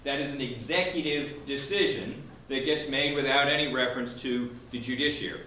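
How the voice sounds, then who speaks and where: Guy law prof debating, sharp bright voice, argues point by point, roomy echo. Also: medium pitch, moderate pace. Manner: argumentative, explanatory, poses and answers own questions. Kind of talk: spoken legal debate. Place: indoor room at a law school event. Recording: roomy echo with faint background noise.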